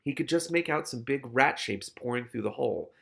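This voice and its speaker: A man's voice: medium pitch, expressive, fairly quick, vocal fry, fairly bright tone. A man reading a novel aloud expressively, with casual, candid asides of his own.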